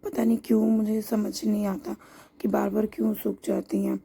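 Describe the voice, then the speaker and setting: Woman home vlogger with a sore-throat hoarseness, chatty step-by-step voice-over, darkly toned. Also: medium pitch, little pitch movement, moderate pace, dark tone. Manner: chatty and homely, explains each step as she goes. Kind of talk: casual voice-over narration of a home routine.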